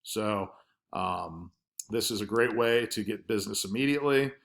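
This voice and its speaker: A man's voice: lowish voice, normal speed, a bit bright. A man explaining marketing results in an informal, conversational screen-share presentation, feeling his way hesitantly through his points.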